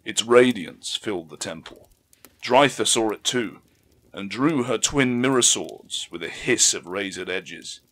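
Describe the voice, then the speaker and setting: A man narrating an audiobook story in a measured, theatrical way, with emphatic swells. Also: fairly low pitch, expressive, a bit bright. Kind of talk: dramatic scripted story narration.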